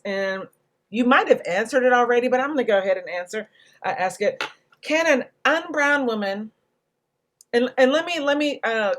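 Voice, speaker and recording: A woman hosting a panel discussion, speaking in a reflective, deliberate way and carefully spelling out what she means. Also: on the high side. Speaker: panel host and moderator.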